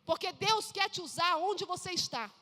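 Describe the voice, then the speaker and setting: A woman's voice: extremely high pitch, moderate pace, bright, forceful tone. A woman pastor with a Brazilian accent preaching emphatically, repeatedly calling for responses from the congregation. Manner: emphatic, calls for audience responses. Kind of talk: sermon. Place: church. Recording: reverberant church hall.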